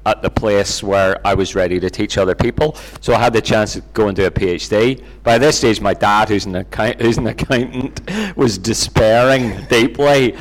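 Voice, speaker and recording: A man's voice: lowish voice, moderate pace, hardly any pauses, rough recording. A middle-aged man with a Northern Irish accent, telling personal stories in a conversational, self-deprecating way as an interview guest. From Northern Ireland, near Belfast. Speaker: interview guest, Bible teacher. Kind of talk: informal personal storytelling.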